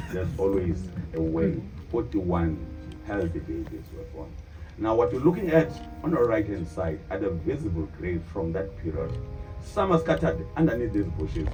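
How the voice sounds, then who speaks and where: A South African man whose first language is not English, guiding a tour group and calling them "good people" as he asks them questions. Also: fairly low pitch. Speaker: tour guide. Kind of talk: guided bus tour narration. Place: tour bus. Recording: tinny distant PA-like sound with loud vehicle noise.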